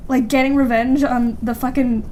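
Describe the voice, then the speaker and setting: A young woman with a high voice, recorded with noticeable background noise. She banters casually as a podcast co-host, loose and hedging, dropping slang and swear words as she goes. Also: level tone, normal speed, a little mellow.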